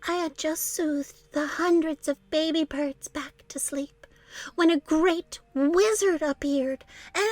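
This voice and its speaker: A woman's voice: extremely high pitch, a bit bright, no echo, voice pushed up, full character voice, low-quality recording. A woman voicing a boastful, melodramatic female storybook animal who tells tales with theatrical exaggeration. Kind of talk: children's storybook character voice.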